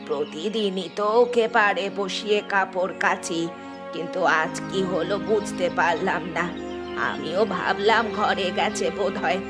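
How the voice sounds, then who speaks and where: A woman's voice: lowish voice, natural voice. A woman voicing a grieving village mother who pleads and frets over her lost son, in a cartoon with music behind. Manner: anguished, pleading. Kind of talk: cartoon voice acting.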